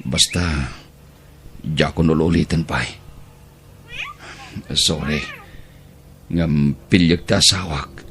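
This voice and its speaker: A man acting a wronged, remorseful Ilocano husband in an audio drama. His voice is low and sharply forceful, quick to flare with feeling. Noise sits faintly behind the recording. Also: fairly even intonation, heavily acted. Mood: remorseful, firm.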